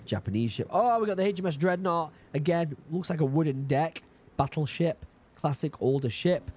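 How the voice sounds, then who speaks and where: A man in his thirties from the UK, a bit hoarse from being sick, chatting casually as he reacts to a video and reads labels aloud, excited now and then. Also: a little high, normal speed. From United Kingdom. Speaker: YouTube reaction host. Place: home study with bookshelves. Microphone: studio mic on boom arm, headphones.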